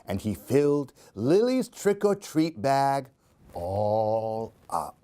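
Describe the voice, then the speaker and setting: A man tells a picture-book story for young children. His delivery is slow and sing-song, with a very lively pitch that rises and dips. He talks directly to his little listeners. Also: smooth. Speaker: storyteller reading picture books for a library program. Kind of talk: expressive children's story reading.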